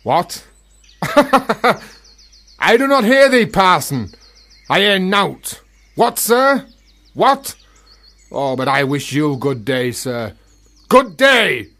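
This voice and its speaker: An actor playing a sly, philosophising gravedigger in a radio play, florid, teasing and mock-humble. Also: male voice, high voice, very animated, drawn-out words, pauses often, bright, forceful tone, no room sound, heavily acted.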